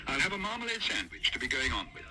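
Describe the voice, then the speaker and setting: A man's voice: medium pitch, huge pitch swings, fast, background noise. A man voicing a small, polite and earnest young male bear in a storybook reading. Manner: politely matter-of-fact.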